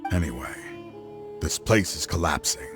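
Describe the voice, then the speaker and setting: An actor playing a remorseful, dying older scholar who speaks gravely and quietly. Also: male voice, very deep voice, expressive, normal speed, loud music behind the voice. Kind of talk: video game voice acting.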